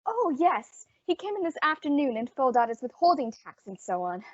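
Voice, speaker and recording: A woman's voice: high voice, very animated, brisk, no room sound. A young woman playing a polite, effusive, ladylike female store executive in an old-time radio drama. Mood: gracious, grateful. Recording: dull lo-fi audio, very dry.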